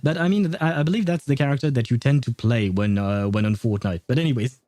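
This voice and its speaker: Mellow, chatty man livestreaming a game. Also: mid-range voice, no echo. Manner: rambling, halting, chatty with viewers. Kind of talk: casual livestream chat and commentary.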